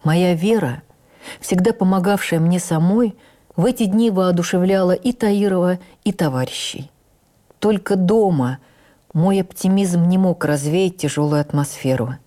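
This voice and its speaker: Low, mellow woman acting an actress recalling her past. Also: moderate pace, close to an everyday voice. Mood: earnest, reflective. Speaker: actress reading a stage memoir. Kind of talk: dramatic first-person radio narration. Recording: radio broadcast with faint music.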